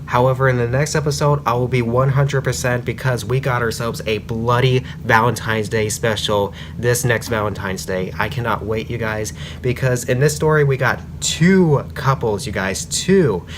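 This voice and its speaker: Man reviewing casually over clear background noise. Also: medium pitch. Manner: emphatic, repeating phrases for stress. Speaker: host of a fan fiction review series. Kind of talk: casual opinionated review commentary. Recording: clearly noisy amateur recording.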